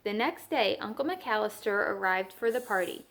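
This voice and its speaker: A woman reads a children's story like a teacher, pausing to explain it in simple asides. Her voice is mid-pitched, with noticeable noise in the recording. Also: expressive.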